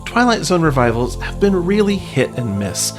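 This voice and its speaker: A man's voice: on the high side, big pitch swings, moderate pace, over music. A man hosting a podcast in a casual, wry, self-deprecating and informal way. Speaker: podcast host. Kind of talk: casual podcast talk with read-out news items.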